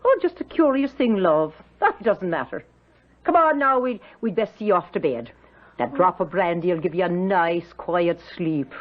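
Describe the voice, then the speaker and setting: Lively, motherly housemaid. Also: on the low side, moderate pace. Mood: soothing, curious. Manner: soothing, folksy, lilting. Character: motherly, inquisitive housemaid, soothing and familiar. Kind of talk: old-time radio drama acting. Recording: dull old radio recording.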